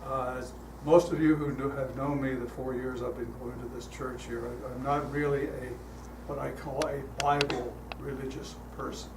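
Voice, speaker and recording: An older man speaking as a guest at a church, telling stories in a relaxed, chatty way with frequent fillers, his voice calm. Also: mid-range voice, normal speed, few pauses. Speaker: guest speaker leading a guided meditation. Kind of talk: storytelling talk to a congregation. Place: church hall. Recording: echoey hall, heard from a distance, noisy.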